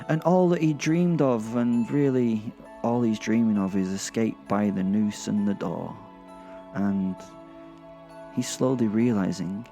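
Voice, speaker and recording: A man telling first-person dark comedy horror stories in a sardonic, conversational, sweary manner as a petty werewolf. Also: lowish voice, creaky. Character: petty, sardonic werewolf narrating his own misdeeds. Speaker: podcast host and storyteller narrating in character.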